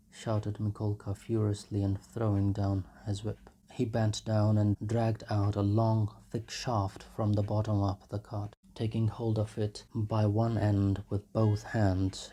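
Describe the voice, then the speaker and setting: A man's voice: on the low side, mellow. A man reading literary fiction aloud as a dramatic audiobook narrator. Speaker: audiobook reader. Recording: noisy home recording.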